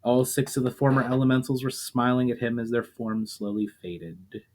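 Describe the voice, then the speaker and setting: Man narrating fantasy in measured phrases. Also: mid-range voice, fairly even intonation, darkish tone. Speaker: author reading own fiction aloud, channel host. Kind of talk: dramatic audiobook-style fantasy narration.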